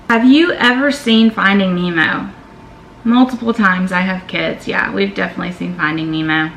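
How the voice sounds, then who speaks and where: A woman's voice: on the low side, creaky, fairly bright tone, roomy sound, a little hiss or hum, rough recording. A woman, chatting candidly as she reads out questions and answers them in a vlog. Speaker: vlogger. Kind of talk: casual Q&A vlog.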